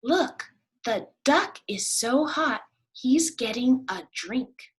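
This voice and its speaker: Storybook arguer voiced by a woman: excited, insistent, emphatic, raised pitch. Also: slow, slightly rough, exaggerated voice. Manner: emphatic, exclamatory. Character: excited, insistent arguer who sees a duck.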